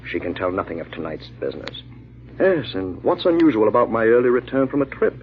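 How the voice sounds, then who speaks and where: An actor playing a ruthless, smug bandit leader and husband in an old-time radio drama, mocking and commanding. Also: male voice, lowish voice, big pitch swings, fairly quick. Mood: scornful, dismissive. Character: ruthless, smug husband secretly leading a murderous bandit gang. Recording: muffled, noisy vintage radio recording.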